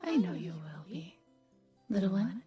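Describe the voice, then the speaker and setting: A woman voicing an old grandmother storyteller in an audio drama, speaking in a breathy, hushed and deliberate way. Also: mid-range voice, sing-song. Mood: doting, teasing. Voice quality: breathy, whispery. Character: gentle old grandmother storyteller, turning eerie and insistent. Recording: music under the voice.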